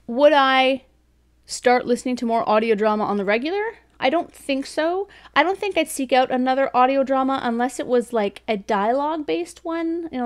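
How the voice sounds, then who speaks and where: A woman in her thirties giving a casual, chatty review as host, informal in manner and talking straight to the camera. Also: fairly high pitch. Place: small indoor room lined with bookshelves.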